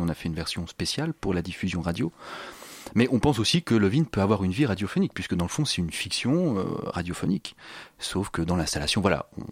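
Male interview guest, very low voice, searching conversational delivery, close dry sound. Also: dry recording. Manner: hesitant, reformulates often. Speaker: guest, audio fiction creator.

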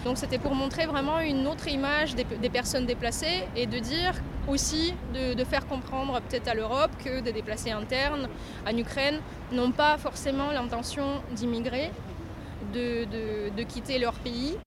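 Female interviewee, slightly higher voice, hesitant, outdoor street noise. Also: measured pace. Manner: hesitant, many restarts and fillers. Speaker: exhibition coordinator. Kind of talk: interview answers.

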